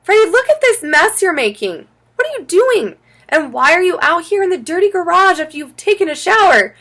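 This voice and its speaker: A woman playing a brisk, caring mother of a schoolchild in a children's audiobook. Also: high-pitched, very animated, gravelly, fairly bright tone, voice pushed up, heavily acted. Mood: exasperated.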